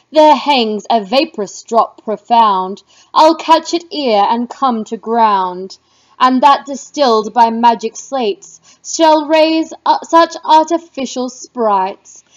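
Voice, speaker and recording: A woman voicing a wrathful, commanding witch goddess in a slow, declamatory dramatic Shakespeare reading. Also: fairly high pitch. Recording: dull, muffled lo-fi recording.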